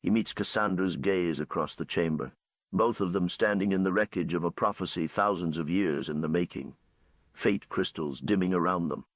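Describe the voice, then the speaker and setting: A man reading scripted bedtime fantasy narration as a storyteller, in a measured, dramatic way. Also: low voice, no echo.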